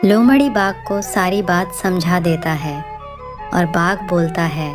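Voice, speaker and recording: Lady reading a kids bedtime story, takes it slow, guitar-ish music behind her. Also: fairly low pitch. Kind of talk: scripted children's story narration. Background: plucked string music.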